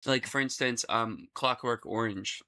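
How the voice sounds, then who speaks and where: A man chatting casually and jokingly as he reacts to a video, excitable, slangy and prone to swearing. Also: mid-range voice, unhurried.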